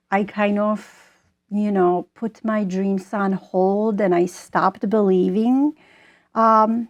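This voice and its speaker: Slow-spoken woman in her forties. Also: mid-range voice. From Bosnia. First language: Bosnian. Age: 40s. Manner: slow, thoughtful, with many pauses and fillers. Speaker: author and guest. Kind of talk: interview answers.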